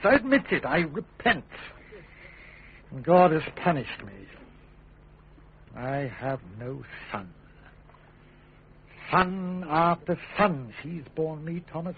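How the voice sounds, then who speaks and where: An English actor playing a volatile, self-righteous young king in classic radio drama style, imperious and theatrical, swinging between bluster and hush. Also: a little high, expressive, measured pace, long pauses, a little hiss or hum. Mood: self-righteous, pleading. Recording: muffled, narrow-band radio broadcast.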